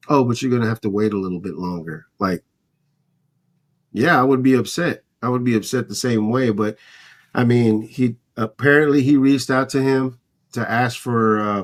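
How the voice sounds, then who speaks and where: A man co-hosting a casual sports talk podcast, recounting and speculating in a loose, conversational way. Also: mid-range voice. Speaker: podcast host.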